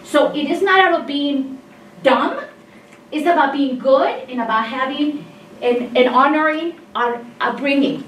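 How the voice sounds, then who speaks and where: A woman in her fifties from Mexico, an engineering faculty member, making an emphatic, insistent comment from the audience of a seminar, picked up by a distant room mic. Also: high-pitched. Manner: emphatic, insistent, gestures while talking. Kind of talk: impassioned audience comment in discussion. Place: seminar room with tables, indoors. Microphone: far room camera mic. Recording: roomy and distant with faint noise.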